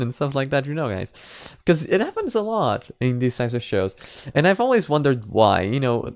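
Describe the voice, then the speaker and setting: Casual man with a clear, smooth voice. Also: mid-range voice, dry recording, studio quality. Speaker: reaction video host. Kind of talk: casual reaction commentary to camera.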